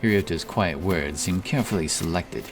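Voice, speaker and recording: A man narrating a fantasy story in a measured, solemn, dramatic storytelling manner. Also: low-pitched, music in the background. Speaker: audiobook narrator.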